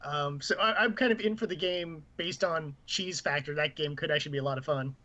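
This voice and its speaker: A man's voice: on the high side, fairly quick, bright, forceful tone. A man co-hosting a gaming livestream, chatting casually in a rambling, opinionated way. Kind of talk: casual chat and commentary on games news. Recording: muffled, lo-fi stream audio.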